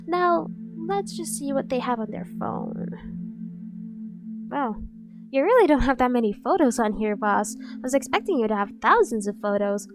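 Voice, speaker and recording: A woman's voice: fairly high pitch, expressive, measured pace, over music. A woman playing a dorky, obsessively devoted yandere bodyguard in an ASMR roleplay, cutesy, gushing and insistent. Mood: playful, teasing.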